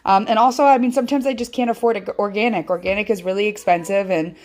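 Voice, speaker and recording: A woman's voice: mid-range voice, steady tone, fast, darkish tone. A woman hosting a casual home cooking tutorial from her kitchen, rambling and chatty with lots of ums, filmed on a handheld phone. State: feels her voice sounds off. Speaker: home cooking channel host.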